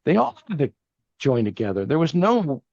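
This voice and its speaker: A man's voice: a little high, very animated, moderate pace, gravelly, a little mellow, no room sound, muffled recording. An older man from Texas, a guest in a casual interview, talking earnestly and rambling with many restarts. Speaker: podcast guest, family banking partner.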